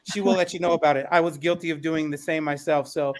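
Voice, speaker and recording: A man's voice: a little high, fairly even intonation, quick pace. A man co-hosting a podcast panel, moderating in an orderly, complimentary manner.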